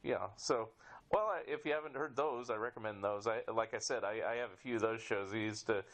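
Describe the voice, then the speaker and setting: A man's voice: on the low side, a little fast, a bit bright. A man hosting a podcast in a casual conversational style, often chuckling as he talks. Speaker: podcast host. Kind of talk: casual interview with sign-off.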